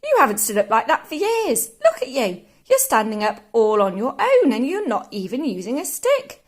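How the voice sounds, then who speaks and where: A woman voicing an excitable young boy in a storybook, calling out in urgent exclamations. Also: very high-pitched, a little slow, pitched above the performer's own voice, exaggerated voice. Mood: amazed, cheerful. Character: excitable young boy alarmed by his grandmother.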